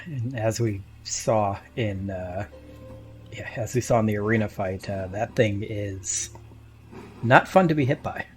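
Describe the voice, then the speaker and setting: A man's voice: lowish voice, measured pace, darkish tone, faint music behind. A man hosting a casual panel chat about a tabletop game he plays in. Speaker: podcast host and player in a tabletop game.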